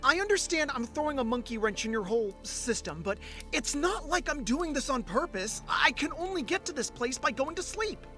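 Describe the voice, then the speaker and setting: A man's voice: very high voice, very animated, moderate pace, pushed and bright, faint music behind, exaggerated voice. A man playing an ordinary, earnest, anxious guy in an audio drama, both acting and narrating. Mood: alarmed protest.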